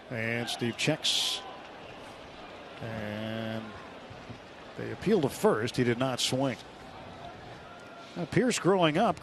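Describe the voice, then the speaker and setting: A man calling baseball play-by-play live on the radio in a measured, descriptive way. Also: medium pitch. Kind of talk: live sports commentary. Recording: clear stadium noise behind live broadcast. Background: outdoor ballpark ambience.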